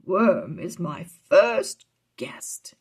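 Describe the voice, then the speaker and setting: A woman voicing a calm, kindly male wizard mentor who explains things in a measured way for a storybook. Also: lowish voice, husky, full character voice. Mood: friendly, hospitable.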